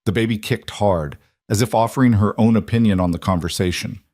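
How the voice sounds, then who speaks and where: A man's voice: fairly low pitch, a little fast, a little mellow, dry recording, studio quality. A man reading scripted dramatic romance fiction as an audiobook narrator.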